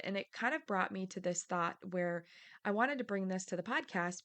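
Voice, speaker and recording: A woman in her thirties hosting her podcast in a chatty, upbeat way, her voice raspy because she has partly lost it. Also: mid-range voice. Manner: upbeat and chatty, with fillers and restarts; energetic scripted intro. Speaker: podcast host. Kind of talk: casual solo podcast talk.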